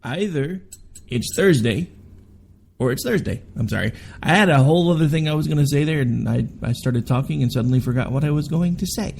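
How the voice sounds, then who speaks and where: A man's voice: fairly high pitch, lively intonation, brisk, gravelly, a little mellow, a little hiss or hum. A man in his forties hosting a political talk radio show, tired from overwork, talking in a rambling, candid way with asides and self-corrections. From Oklahoma, United States. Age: 40s.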